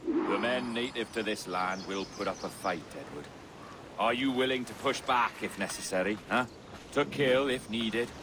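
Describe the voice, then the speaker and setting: An actor voices an enigmatic pirate captain in a game cutscene. His low voice stays measured, cultured and quietly cryptic. Ambient game noise runs clearly under him. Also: close to an everyday voice. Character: enigmatic male pirate captain, calm and cunning. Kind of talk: video game cutscene acting.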